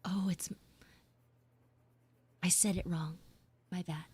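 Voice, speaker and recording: A woman's voice: on the low side, steady tone, slow pace, darkish tone, breathy edge. A woman livestreamer talking casually and expressively to her viewers in informal, exclamatory storytelling. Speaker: VTuber livestream host. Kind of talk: live roleplay chat with viewers, casual storytelling.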